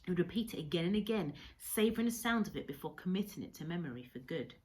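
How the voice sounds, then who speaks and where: Female story reader, lower register, very animated intonation, deliberate emphatic delivery for children. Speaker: teacher reading a story to pupils. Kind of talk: expressive children's audiobook-style storytelling.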